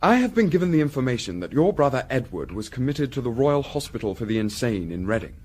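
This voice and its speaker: An actor playing a courteous Victorian scholar-sleuth with an English accent, measured, articulate and dryly ironic, in a period radio drama. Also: male voice, medium pitch, sing-song, a little mellow. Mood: probing, polite.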